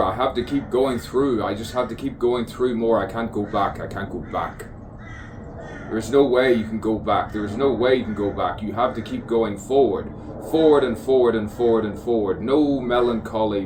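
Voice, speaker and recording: A man improvising spoken-word poetry in a musing, theatrical stream of consciousness, repeating phrases and playing with rhymes. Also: medium pitch. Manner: musing, repeats phrases insistently, rhyming wordplay. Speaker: poet improvising a spoken soliloquy. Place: outdoors on an avenue. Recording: distant mic, clear outdoor background noise. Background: crows and birds cawing.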